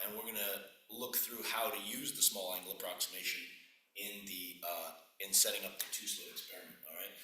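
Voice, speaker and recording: A middle-aged man giving a physics lecture at a whiteboard, his voice husky and croaky, often clearing his throat, talking unhurriedly with pauses. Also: fairly low pitch, bright, forceful tone. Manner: unhurried, pausing with fillers. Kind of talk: classroom lecture. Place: classroom at a whiteboard. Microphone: room camera at a distance. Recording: echoey classroom from far off.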